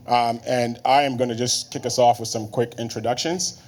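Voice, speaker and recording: A man from Nigeria, in his thirties, moderating a conference panel in a relaxed way with many fillers. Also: mid-range voice, normal speed, a little hiss or hum. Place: conference room.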